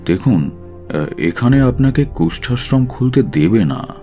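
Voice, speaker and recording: A man's voice: mid-range voice, expressive, unhurried, warm tone, faint music behind. A man playing a condescending, matter-of-fact doctor in an audio drama. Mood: dismissive.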